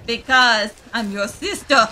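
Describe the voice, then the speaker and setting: A woman's voice: fairly high pitch, measured pace, pushed and bright, slight background noise. A woman voicing a needy, pushy young female survivor in an improvised comedy game dub, whiny and colloquial. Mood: indignant, pleading. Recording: music behind.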